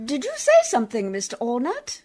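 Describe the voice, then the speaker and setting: A woman's voice: fairly high pitch, wildly swooping pitch, fairly quick, darkish tone. A woman acting in a radio play as a prim, determined missionary lady, speaking in a formal, proper and resolute manner. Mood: firm, commanding.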